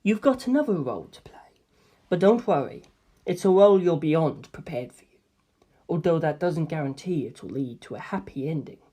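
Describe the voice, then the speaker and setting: A woman voicing a calm, cryptic fifteen-year-old boy in a storybook reading, soft-spoken and breathy, with measured, knowing delivery. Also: sits low.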